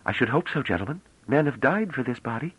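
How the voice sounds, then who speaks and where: A man's voice: medium pitch, expressive, quick pace, husky, no echo, lo-fi sound, voice pushed up. A man reading an audiobook, voicing a playful, confident young woman who talks in a teasing, slightly formal way.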